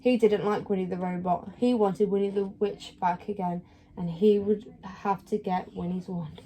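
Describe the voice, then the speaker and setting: A woman reading a children's story aloud in an expressive storytelling style. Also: medium pitch, moderate pace, low-quality recording. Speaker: storybook reader.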